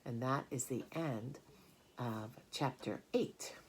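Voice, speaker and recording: A woman's voice: very deep voice, takes it slowly, a little hiss or hum, poor audio. A woman narrating a children's storybook aloud as its reader.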